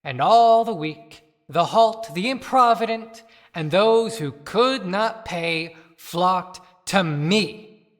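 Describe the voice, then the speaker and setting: A man's voice: high-pitched, animated, slow, a bit bright, soft background music, exaggerated voice. A man playing a good-hearted but disgraced dead small-town doctor in a declamatory audio-play monologue. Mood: proud. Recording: long cavernous reverb.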